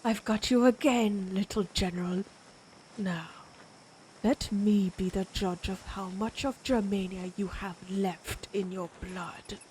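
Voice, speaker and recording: A woman playing a proud, menacing vampire warrior in an audio roleplay, speaking deliberately with an imperious, taunting manner. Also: mid-range voice, fairly even intonation, noisy background, natural voice.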